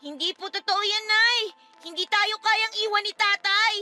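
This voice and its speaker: A woman's voice: very high voice, steady tone, moderate pace, sharp tone, faint music behind, full character voice. A woman playing an earnest, respectful young daughter who questions and pleads in a radio-style drama. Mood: pleading, upset. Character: young daughter, earnest, polite, devoted to father.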